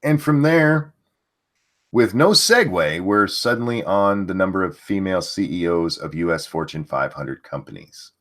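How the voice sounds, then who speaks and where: A man's voice: low voice, lively intonation, moderate pace, a bit bright. An older man hosting a livestream, mocking what he reads aloud in crude, sarcastic, swearing commentary. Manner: reads chat and an article aloud. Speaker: livestream host.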